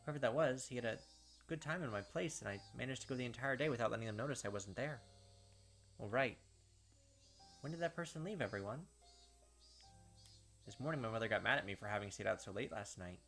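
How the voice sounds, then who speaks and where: A man's voice: mid-range voice, a little fast, gravelly, long pauses, fairly bright tone, soft background music. A man reading visual novel text aloud as a let's-play host, dropping casual, slangy asides along the way.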